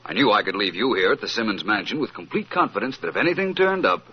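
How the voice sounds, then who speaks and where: A man playing a suave, self-assured gentleman detective with polished, formal speech in an old-time radio mystery. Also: on the low side, expressive, quick pace, slightly rough. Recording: muffled, noisy old radio recording.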